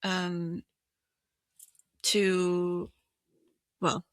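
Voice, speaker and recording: A woman's voice: lowish voice, completely flat delivery, drawn-out words, dry recording. A woman in her thirties from Hong Kong co-hosting a podcast, chatting casually with lots of fillers. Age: 30s. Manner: chatty, many fillers, laughs often. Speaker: podcast co-host. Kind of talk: casual chat.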